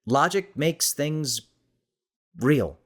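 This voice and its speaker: A man's voice: fairly high pitch, lively intonation, extremely slow, fairly bright tone, pitched above the performer's own voice, full character voice. A man playing a skeptical, easily exasperated eighteen-year-old boy in an audiobook, sardonic and defensive, hesitant when cornered.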